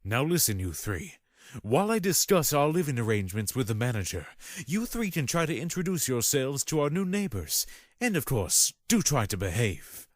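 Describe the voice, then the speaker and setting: A middle-aged father, acted by a man in an audio play. His medium-pitched voice moves animatedly up and down, formal and placating, in a dry recording. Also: sing-song, moderate pace, no room sound. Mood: stern. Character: courteous, fussy middle-aged father of daughters. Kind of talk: fan audio drama acting.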